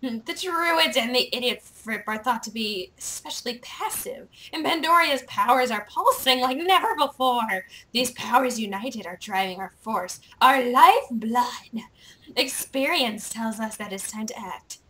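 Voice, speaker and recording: Woman acting out a male villain sorcerer, theatrical, ominous, bright forceful delivery, video game dialogue. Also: a little high, expressive, measured pace, poor audio. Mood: brooding. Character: villainous male dark sorcerer addressing his generals.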